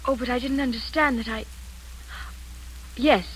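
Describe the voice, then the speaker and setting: A woman's voice: a little high, steady tone, moderate pace, a bit bright, natural voice, rough recording. An actress playing a hesitant, stammering young woman in her twenties applying as a secretary in a 1940s radio drama. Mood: nervous, hesitant. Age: 20s. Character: nervous young Irish secretary. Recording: old radio broadcast with faint hiss.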